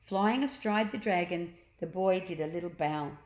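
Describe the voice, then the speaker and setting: A woman's voice: lowish voice, moderate pace, a little mellow. Woman reading a children's story, roomy echo. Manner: explains pictures and words to children. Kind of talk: read-aloud storytelling with asides to young listeners. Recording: roomy, echoing sound.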